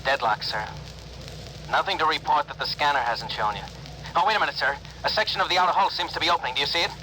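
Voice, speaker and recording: An actor playing an eager, dutiful spaceship officer, brisk, earnest and deferential, in a 1950s radio drama. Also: male voice, a little high, sharp tone. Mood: alert, reporting. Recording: faintly noisy old radio broadcast.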